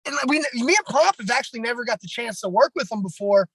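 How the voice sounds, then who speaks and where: A man with a raspy voice, a pro wrestler talking bluntly and at length in a casual podcast chat, heavy on fillers. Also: extremely high pitch, lively intonation, fast talker, fairly bright tone, dry recording, poor audio.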